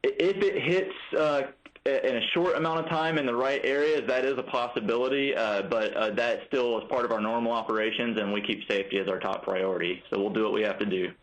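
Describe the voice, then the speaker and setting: An American man answering as an agency spokesman in a live phone news interview, measured and halting, with repeated false starts. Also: mid-range voice, fairly even intonation, a bit bright, rough recording.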